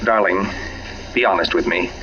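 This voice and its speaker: An actor playing an uneasy, polite but probing husband in a 1940s radio mystery. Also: male voice, low voice, normal speed, bright, forceful tone. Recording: muffled, noisy 1940s radio recording.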